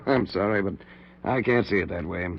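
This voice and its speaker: An actor playing a cautious, skeptical middle-aged surgeon in a 1940s radio drama, hesitant and measured. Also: male voice, on the low side, gravelly. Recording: dull 1940s radio recording.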